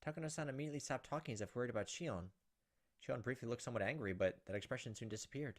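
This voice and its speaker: Fast-talking man narrating a visual novel. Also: mid-range voice. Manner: dramatic narration with joking exasperation. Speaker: gaming video host. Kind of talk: reading visual novel text aloud with asides. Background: game music and cricket sounds.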